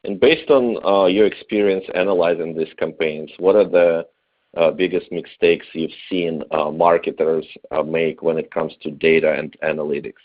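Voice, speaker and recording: A man hosting an interview in a conversational style, with halting, hesitant phrasing. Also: sits low, steady tone. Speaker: interviewer, webinar host. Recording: dull lo-fi band-limited audio.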